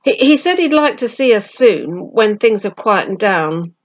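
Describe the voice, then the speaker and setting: A woman voicing an excitable schoolboy in a storybook, eager and stumbling over words. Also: mid-range voice, unhurried. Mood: eager, chatty.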